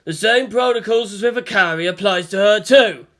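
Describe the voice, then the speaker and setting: A brash teenage boy acted by a male narrator in an audiobook story. He shouts his protests loudly, with a raised pitch and an urgent push. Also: normal speed. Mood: alarmed.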